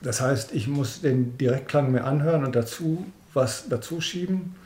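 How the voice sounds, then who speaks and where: A man's voice: medium pitch, moderate pace, a little mellow. A man from Dortmund speaking casually and colloquially as a sound engineer explaining his work in an interview. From Dortmund, Germany. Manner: colloquial, acts out quoted dialogue. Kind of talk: casual expert chat.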